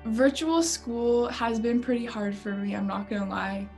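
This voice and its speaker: A woman's voice: a little high, steady tone, measured pace, a little mellow, soft background music. Candid teenage girl activist. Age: teens. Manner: casual, candid, filler "like". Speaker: high school climate activist. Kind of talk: documentary interview.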